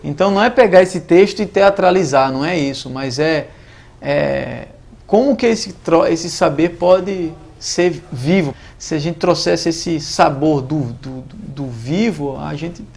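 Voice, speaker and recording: Um homem, artista de teatro, falando de um jeito solto numa entrevista, com pausas e recomeços. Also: male voice, fairly high pitch, creaky, a little mellow. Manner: loose, trails off and restarts. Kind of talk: casual interview.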